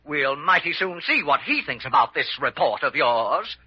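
An actor playing a fussy, indignant older hypochondriac, complaining plaintively and melodramatically in an old-time radio drama. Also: male voice, fairly high pitch, sing-song, moderate pace, music in the background. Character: fussy, indignant older male hypochondriac patient, self-pitying. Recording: dull, lo-fi vintage radio recording.

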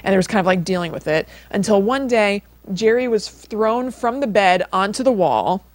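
A woman's voice: mid-range voice, expressive, normal speed, no room sound. A woman co-hosting a podcast, telling a story in a casual, conversational way with lots of informal filler phrasing. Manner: informal, peppers speech with like and kind of. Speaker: podcast co-host.

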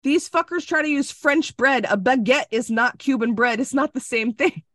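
A woman's voice: high-pitched, normal speed, no room sound. Woman from Florida, podcast co-host, emphatic and profane, dry close sound on a video call. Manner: animated, emphatic, swears freely. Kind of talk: casual chat over video call.

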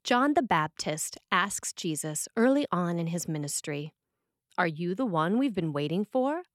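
A woman priest reading a scripted sermon in a measured, expressive way. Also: fairly low pitch, no room sound, studio quality.

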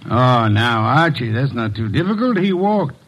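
An actor playing a shrewd, commanding detective boss in an old radio drama, speaking in a deliberate, pompous and erudite way. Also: male voice, mid-range voice, sing-song, a bit bright. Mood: smug explaining.

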